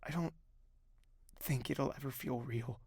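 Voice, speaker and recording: Young king for audio drama: grieving and introspective, slow gravelly delivery, close dry sound. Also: medium pitch, no echo. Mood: grieving, subdued. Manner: hushed, weary, measured delivery with sighs. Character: grieving young prince newly become king, weary and introspective. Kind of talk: dramatic first-person narration and dialogue in audio drama.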